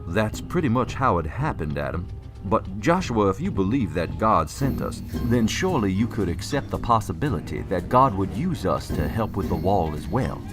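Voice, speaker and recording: An actor playing an earnest, pious and cautious preacher in an audio drama, given to moralising. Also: male voice, fairly low pitch, sing-song, moderate pace, over music. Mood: earnest, doubtful.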